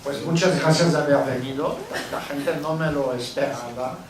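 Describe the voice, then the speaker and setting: A middle-aged man with a French accent, a film director speaking haltingly after a screening, often restarting his phrases. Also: fairly high pitch, a crowd in the background. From France. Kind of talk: post-screening Q&A. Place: cinema hall. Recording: echoey hall from far off with noise.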